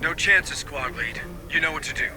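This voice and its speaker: An actor playing a commanding, brusque marine sergeant in a game, speaking like someone used to giving orders. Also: male voice, a little high, lively intonation, moderate pace, bright, forceful tone, slight background noise. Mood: grim. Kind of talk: video game cutscene acting. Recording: game audio with music behind.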